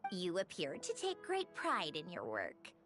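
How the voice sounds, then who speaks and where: A woman's voice: on the low side, wildly swooping pitch, unhurried, over loud music. A woman playing a dry, long-suffering but generous researcher in a video game, with a wry delivery. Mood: curious. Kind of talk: video game character dialogue.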